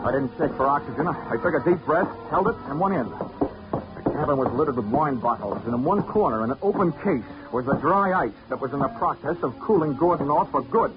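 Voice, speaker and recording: An actor playing a wisecracking, hard-boiled investigator in a 1940s radio drama, speaking in a dry, clipped, wry way. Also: male voice, a little high, lively intonation, a little fast, lightly acted. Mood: tense. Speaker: lead actor and narrator. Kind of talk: 1940s radio detective acting, first-person narration. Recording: dull old radio recording, loud music.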